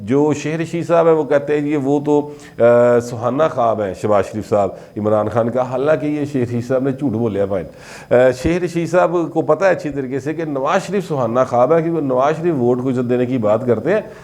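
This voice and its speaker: A man's voice: mid-range voice, fairly quick, a little mellow. A man with a Pakistani Punjabi accent giving conversational, informal commentary as a political commentator. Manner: conversational, slipping between Urdu and Punjabi.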